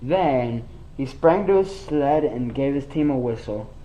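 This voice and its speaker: A woman's voice: very deep voice, animated, measured pace, dark tone, poor audio. A woman playing an earnest, awed eyewitness telling a rhymed story in a radio play. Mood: insistent.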